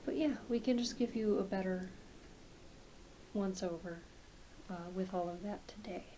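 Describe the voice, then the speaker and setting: A woman playing a gentle, reassuring, slightly scattered doctor in an ASMR medical roleplay, speaking softly and haltingly, often trailing into a near-whisper. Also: mid-range voice, natural voice, low-quality recording. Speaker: ASMR performer. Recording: close home recording with clear hiss.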